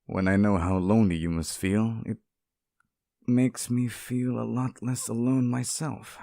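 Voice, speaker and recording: A man voicing a lonely, sweet and possessively devoted sealed demon in an intimate ASMR roleplay, speaking softly, with tender, hesitant pleading. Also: low-pitched, gravelly, no room sound, clean studio sound. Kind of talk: ASMR roleplay, intimate scripted monologue to the listener.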